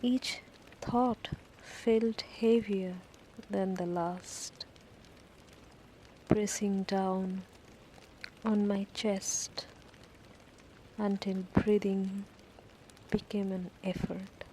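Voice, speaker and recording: Very slow female sleep meditation narrator. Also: medium pitch, steady tone, dark tone, slight background noise, poor audio. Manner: slow, deliberate phrases with long pauses. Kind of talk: guided sleep meditation, first-person scripted story. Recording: rain ambience behind the voice. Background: falling rain.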